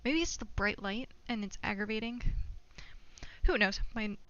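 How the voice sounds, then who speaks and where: Bright-voiced young woman streaming, rambling casually. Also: medium pitch, expressive, normal speed, dull audio. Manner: rambling, self-distracting, thinking aloud. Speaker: live streamer. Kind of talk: casual gaming livestream chat. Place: indoor room at home.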